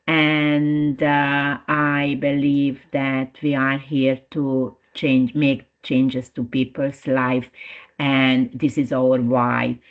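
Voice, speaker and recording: Slow-spoken Hungarian-accented woman presenting. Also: extremely low pitch, completely flat delivery, lo-fi sound. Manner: unhurried, with frequent fillers and restarts. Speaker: principal lawyer presenting a webinar. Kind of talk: webinar presentation.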